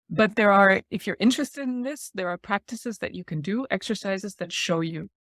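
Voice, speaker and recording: A woman's voice: mid-range voice, normal speed. A woman in her forties, from Thailand, talks through ideas in an earnest, explanatory way. She laughs lightly between points, and her mid-range voice sounds close and dry with no room echo. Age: 40s. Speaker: guest speaker. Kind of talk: reflective podcast talk.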